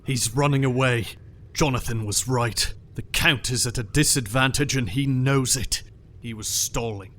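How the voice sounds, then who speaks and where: An actor playing a sharp-minded male vampire hunter who reasons emphatically in an audio drama. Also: mid-range voice, measured pace, a little hiss or hum, close to an everyday voice. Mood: reasoning. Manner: analytical and emphatic.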